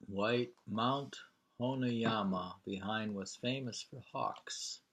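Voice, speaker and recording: A man reading poetry and travel prose aloud informally, in a halting, deliberate way. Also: fairly low pitch, fairly bright tone, breathy edge. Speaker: reader of a poetry travel sketch.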